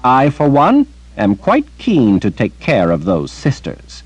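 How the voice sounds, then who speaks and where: A man voicing an older woman, a stern, sharp-tongued sorceress with a scolding, clipped manner. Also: mid-range voice, wildly swooping pitch, slow pace, breathy edge, no echo, voice pushed up, heavily acted. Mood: fond.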